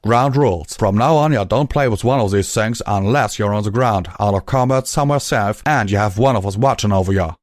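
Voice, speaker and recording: A man voicing a gruff, protective male pegasus with a folksy drawl, scolding a friend. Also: fairly low pitch, brisk, hardly any pauses, a little mellow, no echo, studio quality, lightly acted. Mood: stern.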